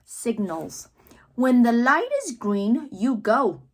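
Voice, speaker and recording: A woman reading poems aloud for children in a theatrical way, drawing out words and pausing between phrases. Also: fairly high pitch, expressive. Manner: theatrical, drawn-out words with pauses. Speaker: read-aloud host, children's reader. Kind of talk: expressive poetry read-aloud for children.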